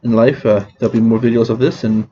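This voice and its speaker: Rambling man, mellow voice. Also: medium pitch, little pitch movement, dull audio. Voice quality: scratchy voice. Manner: halting, many restarts and fillers. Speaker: vlogger. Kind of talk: rambling casual vlog.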